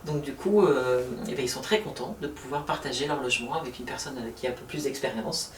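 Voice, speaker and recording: A woman answering interview questions about her housing association, talking fast with many hesitations. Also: rumbling low, a little hiss or hum. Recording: roomy sound from far off.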